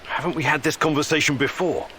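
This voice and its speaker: An actor playing a wary, skeptical male hero in a video game, questioning and steady. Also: on the high side, fast talker, a little hiss or hum. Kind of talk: video game character acting.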